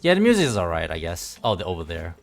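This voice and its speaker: A man giving casual live game commentary in a rambling, halting, low-key way. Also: wildly swooping pitch, vocal fry. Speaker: game streamer. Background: faint game music.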